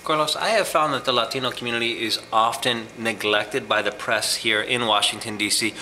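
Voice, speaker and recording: Probing male interviewer, bright tone. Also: medium pitch, steady tone, fairly quick, a little hiss or hum, rough recording. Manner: pointed, probing questions. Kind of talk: interview questions.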